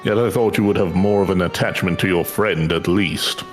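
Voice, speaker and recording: A somewhat low voice of a man in character delivers video game dialogue as a thoughtful scholar. The manner is formal, measured and probing, with clear background music. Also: lightly acted.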